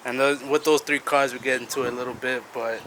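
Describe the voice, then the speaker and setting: A man's voice: medium pitch, steady tone, quick pace, background noise. A man hosting a casual interview, asking friendly questions with short reactions. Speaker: interviewer, channel host.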